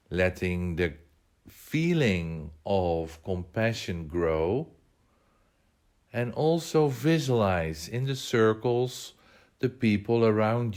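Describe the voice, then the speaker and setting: A man with a somewhat low voice leads a guided meditation. His delivery is very slow and measured. He gives instructions in short phrases, pausing between them. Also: a little mellow.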